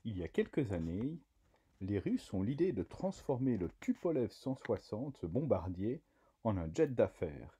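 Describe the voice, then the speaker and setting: A man reading a scripted news voice-over in a measured way. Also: fairly low pitch, big pitch swings, dark tone, breathy edge. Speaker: narrator.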